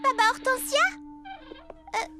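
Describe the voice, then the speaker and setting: A woman voicing a cheerful, caring little girl, bubbly and expressive, in a French-dubbed children's cartoon. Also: very high voice, measured pace. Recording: loud music behind voice.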